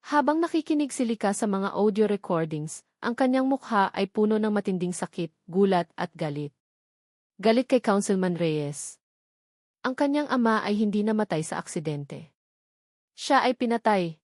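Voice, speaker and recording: A woman's voice: mid-range voice, a little mellow, dry recording, studio quality. A woman narrating a scripted, dramatic love story.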